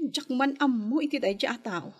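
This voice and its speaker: A long-suffering wife in an Ilocano radio drama, worried and nagging. Her voice is fairly high and husky, with an Ilocano Filipino accent. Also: moderate pace. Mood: weary, worried. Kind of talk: Philippine radio drama acting.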